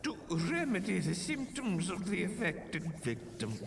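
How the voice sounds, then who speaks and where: A man's voice: high-pitched, big pitch swings, a little slow, creaky, background noise, heavily acted. A man acting a pompous, stern, long-winded professor in a fantasy audio roleplay, pedantic and theatrically emphatic. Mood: lecturing, pedantic.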